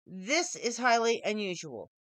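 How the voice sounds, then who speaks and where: A woman voicing a polite, easily surprised female programmer who speaks haltingly in an audiobook story. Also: medium pitch, big pitch swings, a bit bright, dry recording. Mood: flustered. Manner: hesitant, halting, eager to please. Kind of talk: audiobook character dialogue.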